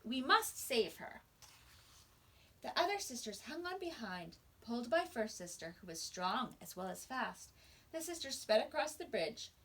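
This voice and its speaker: A woman teacher reading a picture book aloud to young children with expressive storytelling and dramatic pauses. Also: a little high, breathy edge. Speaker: kindergarten teacher.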